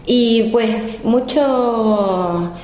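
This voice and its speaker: A woman's voice: on the high side, drawn-out words, a little mellow, a little hiss or hum. A Chilean woman in her thirties with a Chilean accent, answering interview questions in a hotel room, hesitant, with many fillers and repeated words. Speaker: guest singer. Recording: roomy echo with faint ambience.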